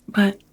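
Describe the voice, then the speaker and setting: A woman playing a tender, nervous ancient vampire in an intimate ASMR romance roleplay, with hesitant, slightly old-fashioned phrasing and a whispery, husky voice. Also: a little mellow. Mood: delighted, teasing.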